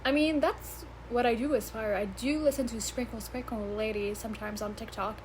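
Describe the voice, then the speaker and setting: A woman's voice: fairly high pitch, steady tone, moderate pace, a little hiss or hum, poor audio. A woman in her early twenties, chatting casually and giggling on her vlog, swearing and stumbling over words in English, her third language. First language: not English; English is her third language. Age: 20s. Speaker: vlogger. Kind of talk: casual rambling vlog chat.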